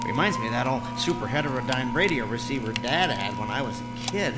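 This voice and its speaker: An actor playing a mild, fussy husband and amateur inventor in a radio drama, muttering and meekly stammering. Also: male voice, medium pitch, expressive, fast talker, loud music behind the voice, close to an everyday voice. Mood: absorbed, muttering. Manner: muttering to himself, meek and stammering.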